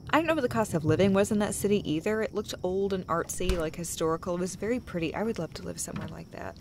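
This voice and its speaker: Woman acting as a sweet playful girlfriend, casual n rambly, bit of fry in her voice, noisy background. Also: fairly low pitch. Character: affectionate, playful, chatty girlfriend comforting her partner. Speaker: audio roleplay performer. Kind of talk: intimate girlfriend roleplay, casual chat.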